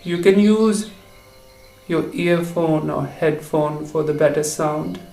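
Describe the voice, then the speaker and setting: A man guiding a meditation for relaxation and sleep, speaking in short, halting phrases. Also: a little high, vocal fry, darkish tone. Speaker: meditation guide. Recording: roomy echo with faint insect noise.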